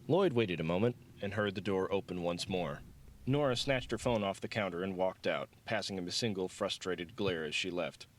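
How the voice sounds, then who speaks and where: A man's voice: low voice, moderate pace, a little hiss or hum. A man reading fiction aloud as an audiobook narrator.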